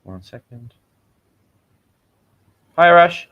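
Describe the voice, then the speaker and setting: A British man hosting a casual English-learning livestream, chatting with viewers and gently correcting their vocabulary. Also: sits high, expressive, slow, smooth, dull audio. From Britain. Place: home in Tenerife.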